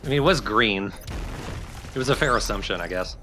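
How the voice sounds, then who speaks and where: A man's voice: fairly low pitch, lively intonation, a little fast, pushed and bright, a little hiss or hum. A man giving casual, wry, conversational co-commentary on a video game. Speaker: let's play co-commentator.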